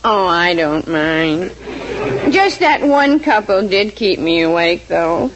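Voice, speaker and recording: Naive complaining maid, very slow drawl, muffled old radio sound. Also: female voice, fairly low pitch, very animated, background noise. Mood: innocent complaining. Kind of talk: old-time radio situation comedy acting.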